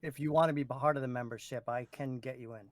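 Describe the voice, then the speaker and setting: A man's voice: medium pitch, fairly even intonation, fast. A man co-hosting a casual chat, bantering and speaking with emphasis. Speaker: podcast co-host.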